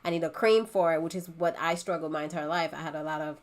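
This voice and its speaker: A woman from Haiti talks in a relaxed group chat with a slightly low voice. She is pregnant, chatty and laughs easily. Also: fast. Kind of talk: casual chat.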